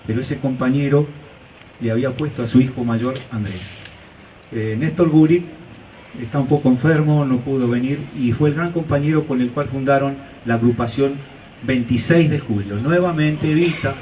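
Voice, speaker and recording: A man's voice: medium pitch, moderate pace, warm tone, far from the mic, muffled recording, slight background noise. A man from Santa Cruz, Argentina, with an Argentine accent, giving an earnest commemorative speech at a memorial ceremony, with frequent "eh" and "este" fillers. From Argentina, Santa Cruz province. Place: outdoor street ceremony.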